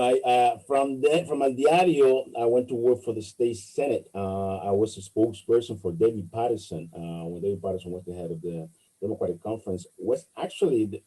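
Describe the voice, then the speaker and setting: A middle-aged man from the Republic, answering interview questions as a candidate, halting with many fillers and restarts. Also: fairly low pitch, mellow, breathy edge, low-quality recording. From Dominican Republic. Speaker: political candidate.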